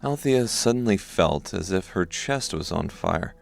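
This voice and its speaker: Deep, gravelly male audiobook narrator. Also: animated, measured pace, faint music behind.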